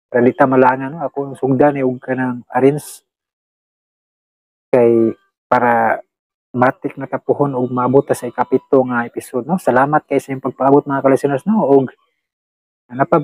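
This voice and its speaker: Halting Filipino man in a dry recording. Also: mid-range voice, darkish tone, no room sound, poor audio. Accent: Filipino, Cebuano-speaking. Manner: halting, rambling, searching for words. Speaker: channel host. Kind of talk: unscripted casual chat to listeners.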